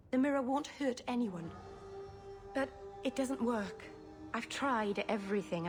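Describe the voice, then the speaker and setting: A woman acting a gentle, naive young elf mage in a video game, earnest and a little halting. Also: on the high side, natural voice.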